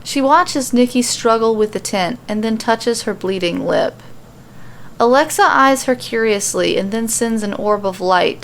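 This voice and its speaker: A woman's voice: mid-range voice, creaky. Woman narrating a story, slightly noisy recording. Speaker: storyteller reading fan fiction aloud. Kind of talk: scripted story narration with voiced dialogue.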